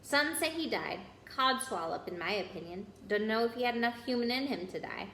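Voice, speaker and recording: A woman voicing a gruff, dismissive big man with a blunt, folksy way in a storybook reading. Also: fairly high pitch, lively intonation, fairly quick, fairly bright tone. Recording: roomy echo with noise.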